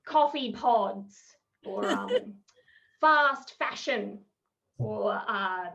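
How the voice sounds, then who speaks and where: A thirty-year-old woman with an Australian accent performing wry, expressive stand-up over a headset on a video call, in muffled, noisy audio. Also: a little high, very slow, gravelly. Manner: expressive, wry, chuckling between phrases. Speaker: stand-up comedian. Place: small room at home.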